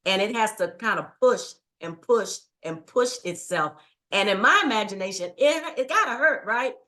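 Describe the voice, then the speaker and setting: A woman's voice: medium pitch, lively intonation, normal speed, pushed and bright. A middle-aged woman guest telling a personal story in a reflective, earnest way. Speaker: guest, writing coach. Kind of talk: casual interview chat.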